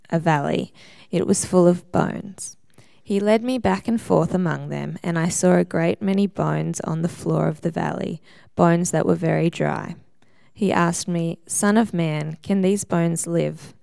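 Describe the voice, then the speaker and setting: A woman reading scripture aloud, her low, mellow voice heavily gravelly with fry. She keeps a steady, measured pace through the passage, and the sound is close and dry. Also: no echo. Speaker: scripture reader.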